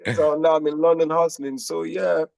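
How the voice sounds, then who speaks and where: A young man from Nigeria, a guest musician, chatting in a relaxed, slangy way and laughing often. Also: fairly high pitch, moderate pace, gravelly, crowd noise. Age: young adult. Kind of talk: casual interview chat.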